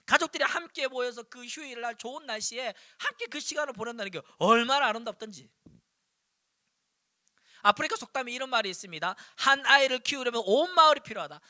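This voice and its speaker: A man in his forties from Daegu, preaching a sermon to high school students with animated storytelling and punchy repetition, speaking Seoul Korean with slight Daegu traces. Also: no room sound, clean studio sound. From Daegu, South Korea. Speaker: youth pastor. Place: church.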